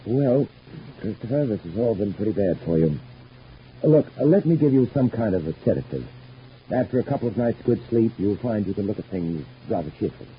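An actor playing a patient, quietly concerned doctor in an old radio soap, reasoning in a measured, placating way. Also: male voice, lowish voice, lively intonation, natural voice. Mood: weary, reassuring. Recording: dull, noisy old radio recording.

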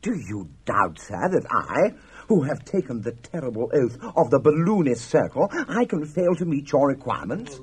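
A man's voice: mid-range voice, normal speed, slightly rough. A man playing a boastful young adventurer in a comic radio play. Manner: boastful, excitable.

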